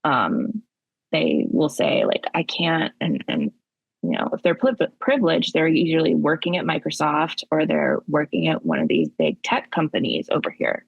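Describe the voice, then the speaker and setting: Raspy, tired-sounding woman host. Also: deep voice, huge pitch swings, no room sound, narrow, tinny audio. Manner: candid, halting, many fillers. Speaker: podcast co-host, therapist. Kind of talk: casual podcast chat.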